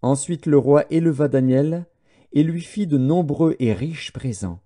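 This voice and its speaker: A man narrating scripture as an audiobook in a solemn, measured way. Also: a little high, warm tone.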